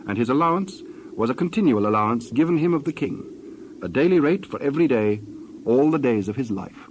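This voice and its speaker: A male narrator reads scripture in a solemn manner. His voice is medium in pitch and moves in measured phrases. There is faint ambient noise behind him. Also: animated, a little mellow. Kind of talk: dramatized Bible narration.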